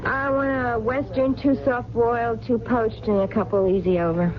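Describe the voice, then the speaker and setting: An actress playing a tough but warm, stubborn, lovestruck diner waitress with brisk, slangy talk in a 1970s radio drama. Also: female voice, a little high, fairly even intonation, over loud music, natural voice. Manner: brisk, blunt, slangy working-class talk. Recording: muffled, noisy vintage radio broadcast.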